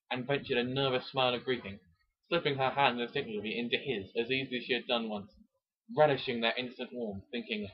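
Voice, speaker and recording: A man gives a mock-dramatic amateur reading of romantic prose. His mid-range voice is breathy and rough-edged. The recording sounds dull and lo-fi. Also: medium pitch. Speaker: amateur narrator.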